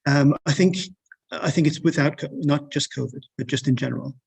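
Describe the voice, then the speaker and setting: A man's voice: on the high side, a little fast, gravelly, a little mellow, breathy edge. A man moderating a panel Q&A, patiently repeating and rephrasing questions for the guests.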